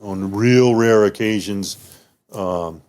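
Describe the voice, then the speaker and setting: A man's voice: on the low side, slow pace, a little mellow. A man in his fifties, a native German speaker, reminiscing as a retired military guest in a rambling way with many restarts. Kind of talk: casual interview reminiscing.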